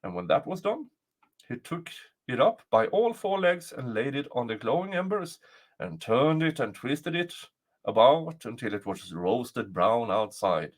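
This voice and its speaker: A man reading a folktale aloud as a storyteller, with deliberate, dramatic phrasing. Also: mid-range voice, big pitch swings.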